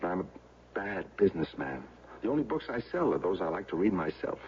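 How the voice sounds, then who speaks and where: An actor playing a self-pitying accused man in a radio drama, speaking in a halting, stammering, troubled way. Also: low-pitched, big pitch swings, darkish tone. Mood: dejected, ashamed. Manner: halting, stammering, agitated then dejected. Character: defeated, self-pitying accused man, a failed small-town bookseller. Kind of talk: 1970s radio drama acting. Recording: tinny, band-limited old radio recording.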